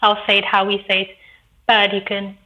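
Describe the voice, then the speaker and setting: A woman's voice: medium pitch, completely flat delivery, normal speed, pushed and bright, highs cut off. A woman from Ethiopia, a chef guest on a podcast, chatting playfully and laughing often. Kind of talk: casual interview chat.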